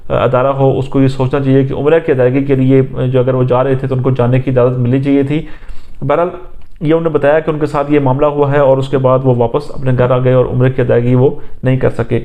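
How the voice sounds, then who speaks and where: A man with a Pakistani accent giving unscripted political news commentary, recounting things in a halting, repetitive way with many fillers. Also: mid-range voice, narrow, tinny audio.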